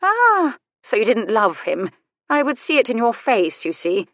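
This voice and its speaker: A woman acts out a bedridden old noblewoman from a storybook. She is haughty and sharp-tongued, teasing with dry, theatrical relish. The sound is close and dry, with a raised, emphatic delivery. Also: on the high side, sing-song, unhurried, dry recording, voice pushed up, full character voice. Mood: probing, teasing. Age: older. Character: elderly bedridden aristocratic lady, sharp-tongued and mischievous.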